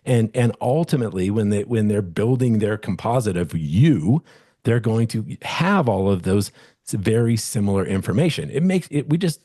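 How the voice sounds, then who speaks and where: Low husky man coaching casually. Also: expressive, moderate pace, dark tone, no echo, clean studio sound. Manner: conversational, many restarts and "you know"s, acts out client voices. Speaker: real estate coach presenting a webinar. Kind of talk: casual teaching talk. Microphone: studio microphone.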